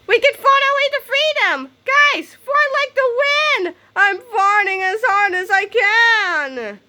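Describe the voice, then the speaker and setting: Lady doing an excitable cheeky little boy from a comic, super high and bright, urgent shouting out. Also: slow pace, heavily acted. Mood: frantic. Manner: exclaiming, urgent. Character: excitable mischievous schoolboy in a comic story.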